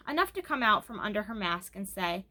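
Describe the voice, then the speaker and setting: A woman's voice: mid-range voice, sing-song, brisk, pushed and bright. A woman reading a children's book aloud as narrator. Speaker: storybook reader.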